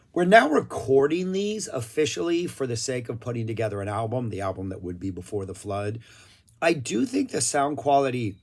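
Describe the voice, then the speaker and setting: Casual male reviewer, mid pitch, opinionated and talky, voice a little worn. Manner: chatty, opinionated, loose with filler words. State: throat a little rough, clearing it now and then. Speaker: music review vlogger. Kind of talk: casual spoken album review.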